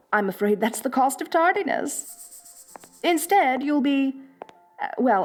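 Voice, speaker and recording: A woman's voice: mid-range voice, darkish tone, slightly rough. A woman playing a rich, bossy lamia mistress in a roleplay audio drama, haughty and condescending with affected elegance. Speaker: wealthy mistress addressing a new servant.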